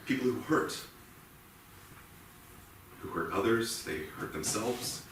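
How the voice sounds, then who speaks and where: An actor playing a haunted, guilt-ridden policeman and family man in a brooding, halting, intense stage monologue. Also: medium pitch, lightly acted. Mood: anguished, agitated. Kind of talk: dramatic theatrical monologue. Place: indoor theatre stage. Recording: echoey theatre, distant mic, faint noise.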